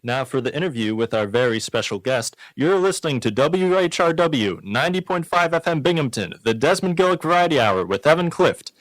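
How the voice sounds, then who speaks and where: A man's voice: on the high side, animated, fairly quick, hardly any pauses, dry recording, low-quality recording. A man hosting a radio variety show, making scripted announcements and introducing an interview.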